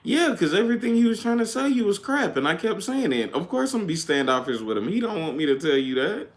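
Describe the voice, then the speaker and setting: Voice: medium pitch, very animated, fast talker. Casual conversation, host's partner, blunt, matter-of-fact, casual swearing.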